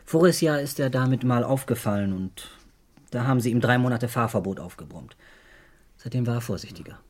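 An actor playing a defiant young law student in a German radio crime drama, speaking in a blunt, slangy, halting way. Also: male voice, medium pitch, expressive, natural voice. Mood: sullen, frank. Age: young adult. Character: defiant, blunt 21-year-old male law student son.

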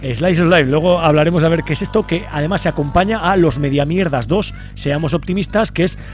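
A man's voice: on the high side, fairly quick, faint music behind. A man with an accent from Spain hosting a casual radio chat and introducing his guests. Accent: Spanish. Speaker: radio show host. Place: radio studio.

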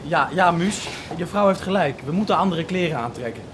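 A resigned, worried village man in a radio play, acted by a man. His high voice is grave, set against noisy weather. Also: lively intonation, a little fast, natural voice. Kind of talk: radio drama acting. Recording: noisy storm background.

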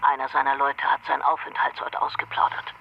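An actress playing a grave, determined British intelligence officer speaking over the telephone in a radio drama. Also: female voice, very deep voice, monotone, brisk, vocal fry. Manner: grave, resolute. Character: determined female British intelligence officer hunting war criminals. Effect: telephone filter. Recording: dull noisy telephone line.

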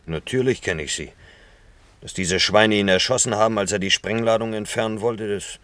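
A man's voice: fairly low pitch, moderate pace, no room sound, lightly acted. An actor playing a brash, reassuring, bluntly insistent man at the wheel in a German radio play. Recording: loud vehicle noise behind voice.

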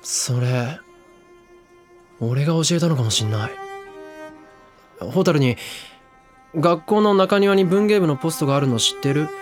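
A man's voice: a little high, a little slow, long pauses, soft background music, lightly acted. A man voicing a brash teenage boy who talks in a laid-back, slangy way. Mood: easygoing. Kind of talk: anime drama CD acting.

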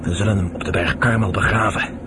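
A man's voice: on the low side, steady tone, fairly quick, lightly acted. An actor playing a grave, respectful fighter attending his dying uncle, speaking solemnly in a radio drama. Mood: solemn, resolute. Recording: loud vehicle noise behind the voice.

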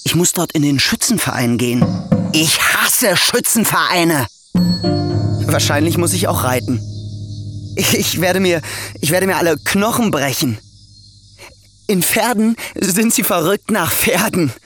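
A man's voice: a little high, expressive, measured pace, pauses often, fairly bright tone, faint music behind. An actor playing a bitter young nephew who is in debt and hates his prospects, talking in a sullen, brooding way in a radio play. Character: bitter young male nephew, indebted and resentful.

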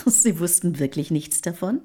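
An actress in a German radio play playing a proud, self-assured middle-aged wife of a poet, speaking in formal, measured period diction. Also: female voice, lowish voice, animated, dark tone. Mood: cool, proud.

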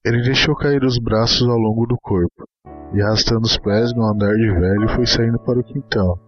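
Male narrator for a dramatized short story, mid-pitched, scripted delivery, lo-fi track over music. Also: fairly even intonation, fairly quick. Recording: dull lo-fi audio with music underneath.

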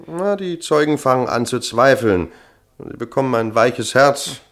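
An actor playing a seasoned, matter-of-fact police sergeant in a German crime radio play, measured, dryly cynical and authoritative. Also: male voice, medium pitch, animated, fairly bright tone. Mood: dry, cautioning.

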